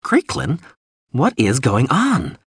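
A man voicing a brash, irritated woman crew member with a forceful, exasperated manner in an audiobook story. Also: medium pitch, huge pitch swings, slow, slightly rough, no room sound, studio quality, voice pushed up, exaggerated voice. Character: brash, irritated, foul-mouthed female spaceship crew member.

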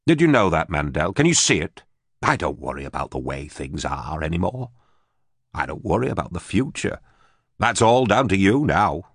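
A man's voice: low-pitched, lively intonation, normal speed, vocal fry, husky, no echo, clean studio sound, exaggerated voice. A man voicing a frightened, bitter former official in an audiobook, pleading and stammering nervously. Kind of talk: audiobook character voice.

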